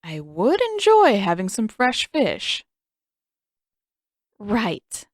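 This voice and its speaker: Woman reading as a sarcastic teen boy, grumpy and dry, a touch lower than her own voice, close dry recording. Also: huge pitch swings, extremely slow, no echo, full character voice. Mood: skeptical. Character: sarcastic teenage boy warrior, grumbling skeptic.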